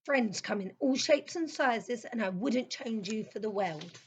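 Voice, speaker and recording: A woman's voice: a little high, lively intonation, unhurried, slightly rough. A woman playing an affectionate, homesick young boy in a children's audio play. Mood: fond, reassuring.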